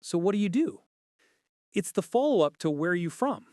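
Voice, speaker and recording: A man's voice: high voice, very animated, moderate pace, darkish tone, no room sound, clean studio sound. A man co-hosting a scripted, conversational English lesson in an inquisitive, explanatory way. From Canada. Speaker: podcast co-host.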